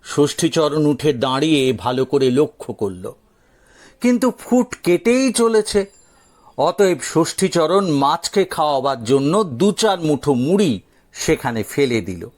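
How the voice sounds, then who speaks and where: A man narrating a scripted audio story dramatically, with theatrical, suspenseful pauses. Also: a little high, expressive, a little mellow.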